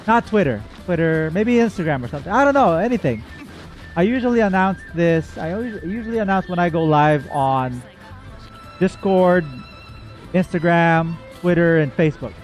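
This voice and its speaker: A man's voice: a little high, expressive, moderate pace. A man hosting a livestream, chatting casually to viewers in a rambling, informal, self-correcting way. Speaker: livestream host. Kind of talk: casual chat to viewers, game reaction. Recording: dull, muffled audio with faint music.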